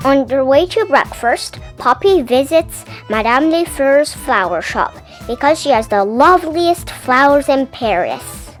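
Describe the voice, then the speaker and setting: A woman reading a children's picture book aloud as an expressive storyteller, animated and careful with her words. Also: sits high, unhurried, soft background music.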